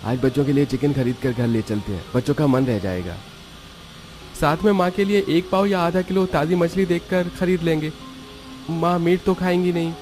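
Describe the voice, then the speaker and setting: A man voicing a poor, affectionate labourer father in a cartoon story, homely and expressive. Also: on the high side, normal speed, soft background music, close to an everyday voice. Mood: practical. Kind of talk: Hindi cartoon story acting.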